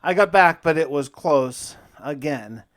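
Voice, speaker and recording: Male gaming host, slightly high register. Casual first-person storytelling with folksy, conversational asides. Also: animated, measured pace, fairly bright tone. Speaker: gaming video host and player.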